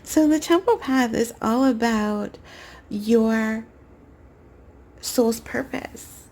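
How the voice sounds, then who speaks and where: Raspy young woman reading tarot cards. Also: on the high side, very slow. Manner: soft, meandering spiritual talk with many fillers. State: getting over a cold. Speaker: tarot card reader. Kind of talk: pick-a-card tarot reading to camera.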